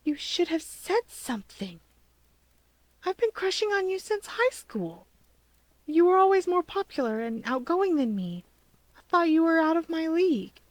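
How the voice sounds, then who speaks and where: A woman voicing a shy, lovestruck young man, a soft-hearted younger brother, in a fan fiction reading. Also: extremely high pitch, big pitch swings, measured pace, dry recording, pitched above the performer's own voice, exaggerated voice, poor audio. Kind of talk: fan fiction audiobook character voice.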